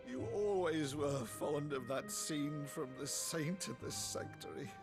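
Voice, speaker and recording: A middle-aged man playing a jovial, theatrical nobleman uncle in a video game cutscene. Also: fairly high pitch. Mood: delighted. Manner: hearty, theatrical, pompous. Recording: game score playing behind. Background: music.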